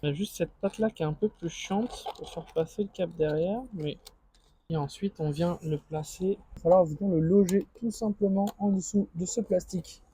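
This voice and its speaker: A man's voice: high-pitched, normal speed, warm tone, breathy edge, low-quality recording. A man speaking informally as a vlogger, thinking aloud through an improvised unboxing and installation commentary. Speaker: motorcycle vlogger.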